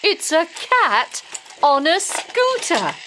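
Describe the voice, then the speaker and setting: A woman reading a children's storybook aloud in a slow, exaggerated, simple teaching style. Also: extremely high pitch, big pitch swings, heavy background noise. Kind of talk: read-aloud storybook narration.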